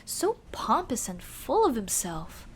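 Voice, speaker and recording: A woman playing a young tomboy childhood friend in an ASMR roleplay, speaking in casual boyish slang with hesitant pauses. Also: fairly high pitch, wildly swooping pitch, slight background noise, heavily acted. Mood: scornful, blunt. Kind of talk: ASMR roleplay, intimate one-sided dialogue.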